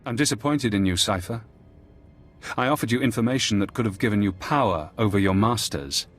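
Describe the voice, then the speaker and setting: A man playing a condescending, coolly menacing former spy in a video game, speaking in a measured, instructive way. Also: lowish voice, a bit bright. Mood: condescending, calm. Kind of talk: video game cutscene acting.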